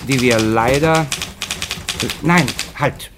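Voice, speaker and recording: An actor in a German radio play playing a brusque, dismissive editor dictating in a businesslike, self-interrupting manner. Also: male voice, mid-range voice, very slow, noisy background. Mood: impatient, distracted.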